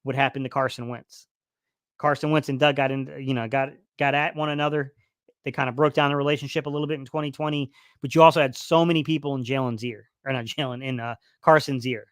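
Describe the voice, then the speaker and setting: A man's voice: mid-range voice, fairly even intonation, fast talker, no echo, clean studio sound. Rambling man hosting a football live stream. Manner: rambling, many fillers and restarts. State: losing his voice a little. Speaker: sports livestream host. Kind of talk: casual live-stream football talk, reading chat.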